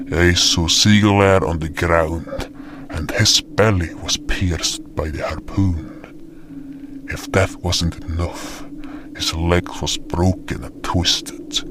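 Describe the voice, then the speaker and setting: A man playing a somber fantasy guardian, narrating his story gravely and deliberately in an audio drama. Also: sits low, steady tone. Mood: dazed, grave. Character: somber male fantasy guardian recounting a doomed hunt. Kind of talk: scripted first-person story narration. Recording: noisy background ambience.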